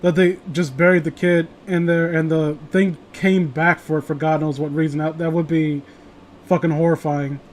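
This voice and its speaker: Male host, fairly high voice, loose sweary banter over light background noise. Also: level tone, moderate pace. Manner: rambling, foul-mouthed, joking. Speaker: host of a horror story reading show. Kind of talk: casual chat and commentary on stories. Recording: noisy home recording, clear background hiss.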